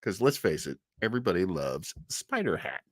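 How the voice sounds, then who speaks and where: A man hosting an old time radio livestream in a casual, chatty, enthusiastic way, often rambling and pausing to search for words. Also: sits low, sing-song, no room sound, studio quality.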